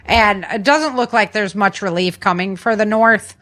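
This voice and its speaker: A woman hosts a casual podcast at an average pitch. She talks conversationally, as if chatting with listeners, and leans on repeated words for emphasis while she runs through the news. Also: normal speed, a bit bright. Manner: informal, conversational, emphatic repetition. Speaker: podcast host.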